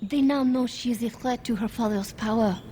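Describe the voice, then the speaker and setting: A woman's voice: on the high side, normal speed, dark tone, slight background noise, lightly acted. An actress playing an earnest, worried young handmaiden to a queen in a fantasy video game, telling things carefully and urgently. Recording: game audio with music underneath.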